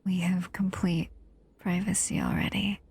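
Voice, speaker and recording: A woman playing an affectionate, possessive monster lover in an intimate audio roleplay, speaking in a tender, breathy murmur. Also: fairly low pitch, steady tone, a little mellow, heavily acted. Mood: cozy, intimate. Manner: tender, unhurried, doting murmur.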